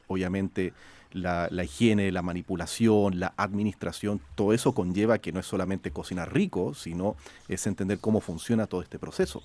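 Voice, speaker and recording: A man, a chef and food scientist, talking. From Chile. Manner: lively storyteller, rises in pitch when quoting. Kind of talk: conversational interview. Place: outdoor garden.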